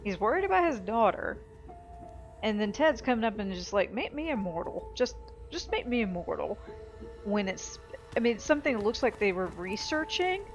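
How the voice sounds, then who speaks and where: A woman's voice: medium pitch, big pitch swings, moderate pace, low-quality recording. A woman giving casual gameplay commentary as a let's play host, rambling and blunt with some swearing. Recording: loud game music behind.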